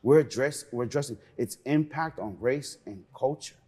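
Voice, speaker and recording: A man's voice: mid-range voice, moderate pace, dark tone, husky. A middle-aged man from Peru, raised in New York, speaking as a guest in an interview, earnest and passionate. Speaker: museum cultural program manager, guest.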